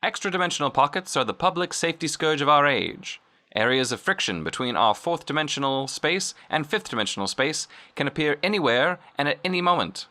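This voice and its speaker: A man playing a slick, eager old-time radio cigarette pitchman, announcing with emphatic, polished salesmanship. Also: very animated, brisk, bright, forceful tone. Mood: emphatic, persuasive. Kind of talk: vintage radio advertisement announcing.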